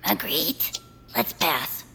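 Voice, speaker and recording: A woman's voice: low-pitched, sing-song, slow pace, vocal fry, bright, forceful tone, a little hiss or hum, exaggerated voice. A woman playing an anxious, reluctant game-show contestant in an audio drama, hesitant, pleading and easily exasperated. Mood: puzzled, hesitant. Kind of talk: audio fiction acting.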